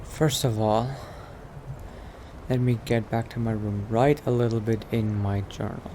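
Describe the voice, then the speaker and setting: A man's voice: on the low side, fairly even intonation, a little slow, noisy background. A man doing casual, improvised roleplay commentary as he plays a video game, laid-back and hesitant. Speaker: gamer roleplaying as his character.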